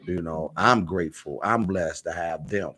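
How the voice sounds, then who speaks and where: A man's voice: low-pitched, normal speed, fairly bright tone. An American man talking casually as a radio guest, plain-spoken and slangy, repeating phrases for emphasis. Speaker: stage playwright and director, radio guest.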